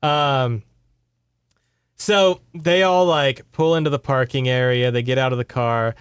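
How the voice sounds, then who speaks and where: A man's voice: mid-range voice, fairly even intonation, unhurried, clear, resonant tone, no echo, clean studio sound. A man hosting a podcast, retelling a book in casual, conversational chat and checking in with his listeners. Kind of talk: casual story recap chat.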